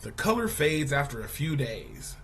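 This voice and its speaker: A man reading a children's news article aloud as a teacher, in a deliberate, explanatory manner. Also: medium pitch, huge pitch swings, gravelly, fairly bright tone, a little hiss or hum. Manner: deliberate, explanatory, poses questions to young listeners.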